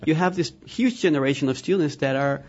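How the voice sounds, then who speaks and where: Colombian guy w spanish accent, gravelly voice, lots of ums, lo-fi sound. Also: fairly high pitch, a little fast. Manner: hesitant, many fillers. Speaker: school diversity office head. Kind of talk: interview answers.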